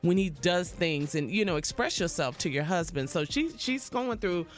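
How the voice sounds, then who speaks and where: A woman's voice: fairly low pitch, lively intonation, normal speed. A woman co-hosting a radio show, delivering entertainment gossip in a casual, chatty style. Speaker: radio show co-host giving reality TV updates. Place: radio studio. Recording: music bed behind.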